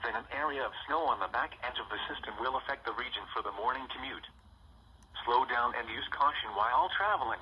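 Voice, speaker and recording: A synthetic American male announcer reading a formal weather bulletin in a measured way, heard through a radio speaker. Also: mid-range voice, dull audio. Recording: noisy radio speaker playback.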